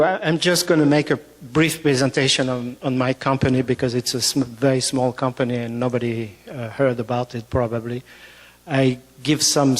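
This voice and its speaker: A man with a French accent giving a medical conference talk, hesitant with frequent fillers and restarts. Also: mid-range voice. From France. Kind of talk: conference presentation. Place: conference hall. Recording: long reverb of a hall.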